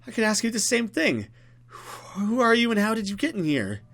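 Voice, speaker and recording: A man's voice: sits high, big pitch swings, normal speed, a bit bright, voice pushed up, full character voice. A man voicing a protective, earnest young woman full of questions in a horror visual novel. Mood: startled.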